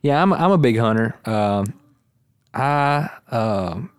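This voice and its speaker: A man's voice: mid-range voice, animated, takes it slowly, smooth, no room sound, studio quality. A man hosting a casual podcast, rambling in an easygoing way and laughing often. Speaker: podcast host.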